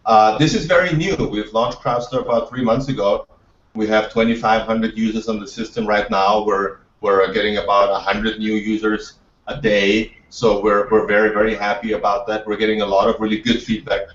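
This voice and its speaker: A man's voice: fairly low pitch, fairly even intonation, moderate pace, a bit bright. A man from Austria, talking as an entrepreneur guest, keen and full of restarts and repeated words. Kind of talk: interview answers. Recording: dull, roomy, distant from the mic.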